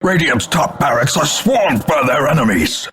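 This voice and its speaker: An actor playing a grim, scornful older male announcer in a video game, grandiloquent and portentous, with a gravelly, husky voice. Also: on the high side, expressive, normal speed, fairly bright tone, raised voice, soft background music. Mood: urgent warning. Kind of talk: theatrical scripted game announcements.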